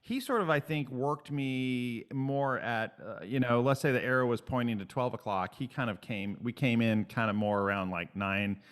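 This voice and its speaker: Brief: male co-host, middle pitch, lively and self-mocking storyteller. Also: moderate pace, few pauses, clean tone. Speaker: podcast co-host. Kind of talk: casual interview chat.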